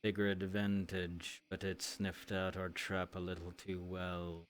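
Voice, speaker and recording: A man's voice: low voice, monotone, a little slow. A man roleplaying a stoic, seasoned monster hunter, speaking in terse, slightly formal improvised lines.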